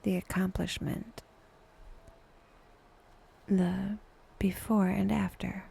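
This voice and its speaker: A woman guiding a meditation in a soft, breathy, often near-whispered voice, speaking in short phrases with long pauses. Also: mid-range voice, little pitch movement. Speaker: meditation guide, podcast host. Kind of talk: guided meditation, soft slow scripted narration.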